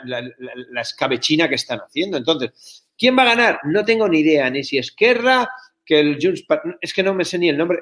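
Higher-voiced blunt Spanish man from Barcelona. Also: fairly bright tone. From Barcelona, Spain. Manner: blunt, colloquial with swearing. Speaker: interview guest. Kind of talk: casual, opinionated interview talk.

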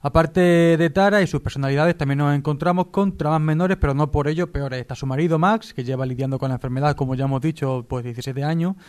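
Casual man with a highish voice. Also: fast, few pauses, a little mellow. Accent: Spain. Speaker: radio show presenter and TV reviewer. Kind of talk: casual series review.

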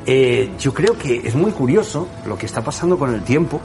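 A man's voice: mid-range voice, lively intonation, normal speed, noisy background. A man with an accent from Spain, hosting a radio show and interviewing guests in a casual, conversational style.

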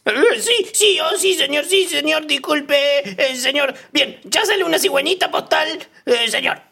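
A man's voice: very high-pitched, expressive, normal speed, gravelly, pushed and bright, pitched above the performer's own voice, full character voice. A man playing a flustered, obsequious, stammering small-time courier boss in a parody radio drama. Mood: flustered servility.

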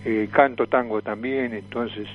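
A man's voice: mid-range voice, steady tone, unhurried, muffled recording, slight background noise. A man in his seventies from Tucumán with an Argentine accent, recalling his career as an interview guest in a hesitant, repetitive way. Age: 70s. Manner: hesitant, repeats words and phrases. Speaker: radio guest, veteran comic performer and voice impressionist. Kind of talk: casual reminiscing interview.

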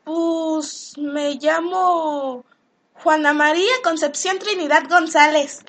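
A woman playing a sassy, blunt housemaid in a radio soap, cheeky and colloquial, quick to talk back. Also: high voice, fairly even intonation, a bit bright. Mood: blunt, suspicious. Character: sassy, blunt housemaid, grumbling about hard work. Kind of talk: Spanish-language radio soap acting.

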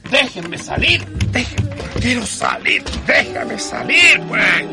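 Pleading Chilean father, high-pitched, very animated intonation, middle-aged, radio drama. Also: slow pace, creaky, music in the background, heavily acted. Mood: desperate anger.

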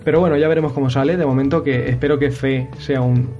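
A man's voice: mid-range voice, fairly even intonation, quick pace, highs cut off. Young guy from hosting a games podcast, rambly, swears a lot, faint music behind. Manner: rambling, many restarts and swearing. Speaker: podcast host. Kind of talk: casual gaming chat.

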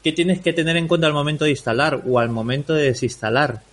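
A man from Peru in his forties whose Peruvian Spanish accent has softened after years in Spain, talking as a podcast guest in a relaxed way, full of fillers and tag questions. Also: on the high side, quick pace. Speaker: podcast guest, WordPress developer. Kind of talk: casual interview chat.

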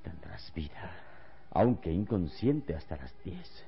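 An actor playing a calm, commanding, noble hero with hypnotic powers in an old radio serial, measured and solemn. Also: male voice, sits low, gravelly, full character voice. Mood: grave, reassuring. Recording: dull old radio recording with music behind.

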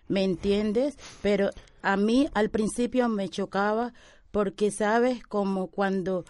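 A woman's voice: mid-range voice, fairly even intonation, slow, darkish tone, no room sound, clean studio sound. A woman giving interview testimony about her everyday life. She speaks reflectively and circles back on the same phrases.

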